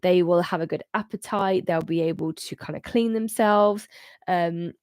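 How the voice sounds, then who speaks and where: A woman, congested and a bit muffled, talking casually to viewers on a live stream from a bright room at home into a studio mic. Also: on the low side, moderate pace, no echo. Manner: chatty, gesturing, rambling with restarts. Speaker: host of a cat energy channel. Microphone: studio microphone on arm in front of her.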